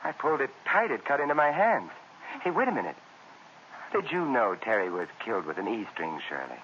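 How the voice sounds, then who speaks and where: An actor playing a suave, witty gentleman detective with a dry, sardonic manner, in 1940s radio acting. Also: mid-range voice, big pitch swings, brisk. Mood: probing. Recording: old radio broadcast, dull with faint hiss.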